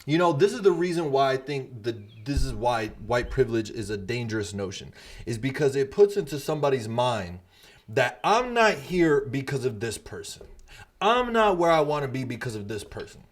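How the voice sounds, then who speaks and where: A man's voice: medium pitch, very animated, moderate pace. Blunt, emphatic male podcast host. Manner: blunt, emphatic, swears freely. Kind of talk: casual podcast debate.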